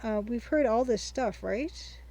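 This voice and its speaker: A young woman hosting a game playthrough, chatting casually and thinking aloud as she reads her notes slowly. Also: on the high side, mellow, poor audio. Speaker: let's-play host. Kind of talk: casual let's-play commentary.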